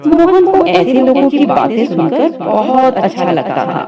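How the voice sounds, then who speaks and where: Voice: high voice, very animated, measured pace, highs cut off, a crowd in the background. A narrator telling a scripted moral story in a storytelling style.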